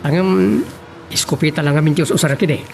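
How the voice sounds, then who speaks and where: A man's voice: on the high side, fast talker, vocal fry. Man acting hesitant buddy over music. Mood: doubtful. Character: hesitant, doubtful novice with guns, protesting to his buddy. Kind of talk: Ilocano audio drama acting.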